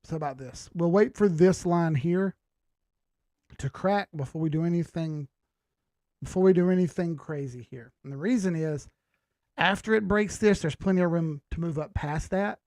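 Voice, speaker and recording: A man's voice: on the high side, normal speed, a little mellow, no room sound, clean studio sound. A man hosting a live stream, giving casual commentary and thinking aloud in slangy, informal language. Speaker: host of a live market analysis stream.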